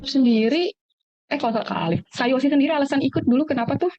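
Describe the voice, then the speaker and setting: A woman's voice: high voice, quick pace, dark tone, slight background noise. A woman with an Indonesian accent hosting a webinar in a casual, chatty, slangy way, heard over an online call. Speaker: webinar host. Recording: dull, lo-fi webinar audio.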